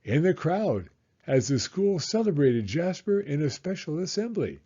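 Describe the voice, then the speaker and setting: A man reading a children's story aloud in a theatrical, suspenseful way with drawn-out emphasis. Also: on the high side, sing-song, warm tone, husky, highs cut off.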